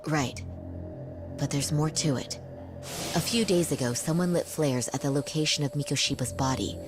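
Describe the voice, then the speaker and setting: Actress as very low-voiced, composed attorney woman. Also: moderate pace, lightly acted. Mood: analytical. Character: serious, composed female defense attorney. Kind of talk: video game cutscene dub dialogue. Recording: game music under the voice. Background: music.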